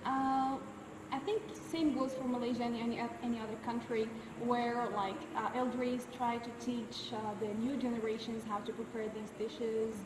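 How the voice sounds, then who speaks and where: A woman's voice: fairly high pitch, far from the mic. Woman in her twenties, echoey hall. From United States. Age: 20s. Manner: relaxed, often pauses with uh. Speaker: interviewee, university student. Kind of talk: casual explanatory interview answers. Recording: echoey hall from afar, background noise.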